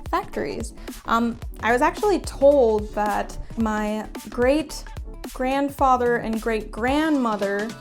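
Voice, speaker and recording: A woman's voice: on the high side, slow pace, faint music behind. A young woman from Puerto Rico, chatting casually and thinking aloud as she reads out her test results. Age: young adult. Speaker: vlogger. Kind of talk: casual reading and commentary on DNA results.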